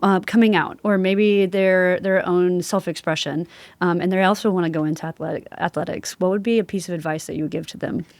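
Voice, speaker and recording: A woman's voice: lowish voice, steady tone, brisk, a little mellow, no room sound. A woman hosting a conversational interview, asking questions in an affirming, encouraging way. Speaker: podcast host interviewer.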